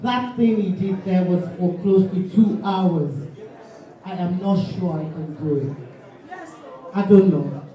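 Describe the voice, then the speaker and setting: Slow Nigerian woman in an echoey room. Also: on the low side, a faint crowd. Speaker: guest speaker from the film industry. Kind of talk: impromptu tribute speech. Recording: echoey hall, distant dull microphone.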